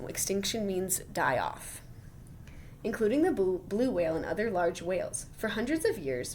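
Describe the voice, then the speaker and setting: A woman reading a children's science book aloud like a teacher, expressive and full of wonder, with chatty, encouraging asides to young listeners. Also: medium pitch, a little hiss or hum.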